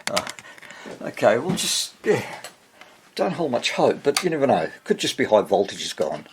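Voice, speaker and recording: A man giving casual hands-on repair narration, haltingly muttering to himself as he works and thinks aloud. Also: medium pitch, very animated, slight background noise. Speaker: hobbyist radio restorer, presenter.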